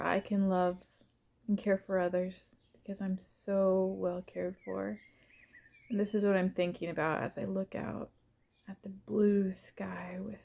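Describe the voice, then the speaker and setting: Slow, mellow woman with creaky softness. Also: medium pitch, slight background noise. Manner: gentle, unhurried, pausing between short phrases. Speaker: guide of a visualization exercise. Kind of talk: slow, soothing first-person guided visualization.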